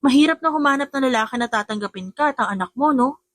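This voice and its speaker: Lady playing a sensible young bestie, high voice, earnest and persuasive, audiobook drama. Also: animated, fast talker, no room sound. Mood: earnest advising. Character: supportive, sensible young woman advising her best friend. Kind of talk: audiobook character acting.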